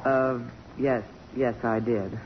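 An actress playing a poised, sentimental but strong-willed middle-aged congresswoman, with a crisp, commanding and emotional delivery in 1950s radio drama style. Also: rumbling low, fairly even intonation, takes it slowly. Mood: guarded, firm. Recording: dull, noisy old radio broadcast.

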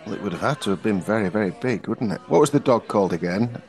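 A man's voice: fairly low pitch, lively intonation, quick pace, a little mellow, a faint crowd. A man co-hosting a podcast in casual comic banter, questioning things in a hesitant, bemused way. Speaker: podcast co-host.

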